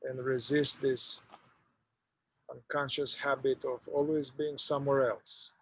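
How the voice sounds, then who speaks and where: A man leading a guided meditation, speaking in an unhurried, halting way with long pauses. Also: mid-range voice, little pitch movement, bright, forceful tone, a little hiss or hum, rough recording.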